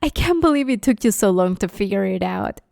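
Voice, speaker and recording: A woman voicing a playful, sadistic vampire in an ASMR roleplay, teasing her captive with mock sweetness and quiet menace. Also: mid-range voice, animated, a little fast, gravelly, no echo, clean studio sound. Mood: gleeful, cruel. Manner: teasing, mockingly sweet, menacing, often hushed. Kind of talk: ASMR roleplay, dramatic monologue.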